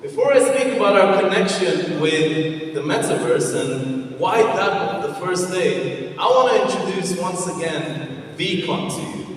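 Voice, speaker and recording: A man's voice: sits high, measured pace. A man in his twenties from Afghanistan, hosting a conference on stage and working the crowd with questions. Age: 20s. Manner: energetic, engaging the audience with questions. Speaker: event host. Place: large convention hall. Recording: echoey hall, far from the mic.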